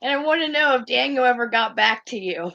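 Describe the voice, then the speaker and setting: A woman calling in to a show, talking in a casual, halting way. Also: a little high, animated, vocal fry, bright, forceful tone. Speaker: caller. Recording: muffled call audio.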